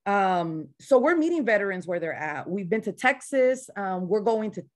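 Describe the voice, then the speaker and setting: A woman's voice: mid-range voice, big pitch swings, normal speed. A woman veteran organizer with a slight Spanish-influenced accent, speaking passionately and bluntly in a casual online panel discussion.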